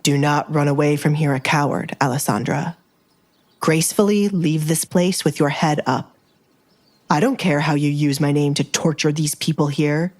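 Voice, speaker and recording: A woman playing a confident, commanding young duke in an audio drama, imperious and measured. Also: low-pitched, pitched below the performer's own voice.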